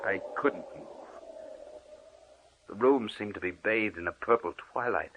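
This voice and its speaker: A man's voice: fairly low pitch, a little slow, noisy background, lightly acted. An actor playing a terrified, disembodied man in an old-time radio horror drama, melodramatic and anguished in manner. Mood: haunted, uneasy. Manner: melodramatic, anguished, swinging from hushed narration to cries. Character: terrified disembodied man, widower, recounting his doom in anguish. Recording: muffled band-limited old radio recording.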